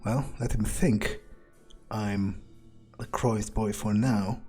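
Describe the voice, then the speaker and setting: A man with a fairly low, relaxed voice plays a game in character. He muses aloud at a very unhurried pace, muttering and swearing as he goes. Game music sits softly behind him. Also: breathy edge. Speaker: game player. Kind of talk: in-character roleplay commentary, thinking aloud.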